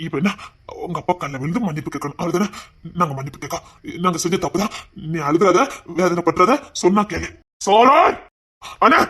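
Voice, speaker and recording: A man's voice: high-pitched, bright, forceful tone, breathy edge, exaggerated voice. An actor playing a doting elder man who pleads with and soothes his girl in a TV serial drama. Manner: pleading, soothing, pet names over and over.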